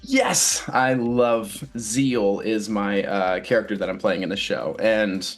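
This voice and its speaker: A man's voice: on the low side, slow pace, soft background music. A man answering interview questions casually as a stage actor, earnest and thoughtful, often searching for his words.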